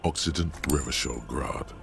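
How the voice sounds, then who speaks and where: A man voicing the ominous inner voice of a detective's psyche, narrating slowly in a video game. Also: a bass voice. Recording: music playing under the voice.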